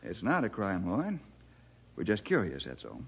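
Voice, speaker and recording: Calm, inquisitive ranger, American, husky, lively intonation, old-time radio drama. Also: male voice, deep voice, moderate pace, exaggerated voice. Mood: curious. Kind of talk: 1960s radio drama acting. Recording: dull vintage radio recording.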